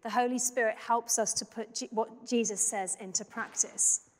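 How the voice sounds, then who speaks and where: A woman in her thirties, a pastor, giving a sermon in a church in a measured, reflective, conversational way. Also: on the high side, steady tone. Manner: measured, reflective, conversational preaching with small restarts. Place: church sanctuary. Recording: long church reverb.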